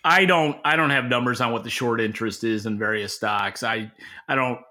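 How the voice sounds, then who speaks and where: A man's voice: medium pitch, normal speed, bright, forceful tone. A man co-hosting a podcast as an industry analyst, giving unscripted, opinionated explanations and often rephrasing mid-sentence. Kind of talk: unscripted expert market commentary.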